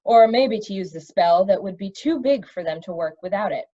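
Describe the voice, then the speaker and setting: A woman's voice: mid-range voice, lively intonation, normal speed, dark tone, dry recording, lo-fi sound, close to an everyday voice. A woman voicing a thoughtful young storybook king with a plain, earnest manner. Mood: musing.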